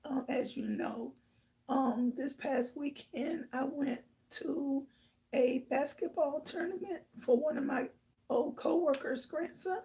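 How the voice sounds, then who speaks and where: Hoarse raspy lady hosting a live chat, high voice, slow and rambly. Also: warm tone. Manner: rambling, filler-heavy storytelling. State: hoarse from shouting. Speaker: livestream host. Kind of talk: casual chat to viewers.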